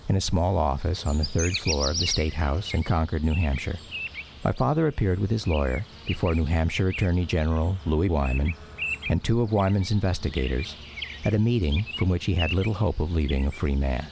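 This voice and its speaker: A man's voice: deep voice, animated, a little fast, vocal fry, background noise. A man performing as a reflective grown son, narrating a scripted radio drama about his father. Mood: measured reflective.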